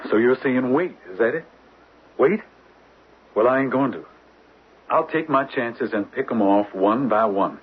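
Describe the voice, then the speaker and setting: An actor playing a dogged, hot-headed, vengeful young detective in a radio drama, speaking bluntly and folksily with small stammers. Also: male voice, mid-range voice, a little slow, long pauses, husky. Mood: defiant, bitter. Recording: muffled old radio broadcast.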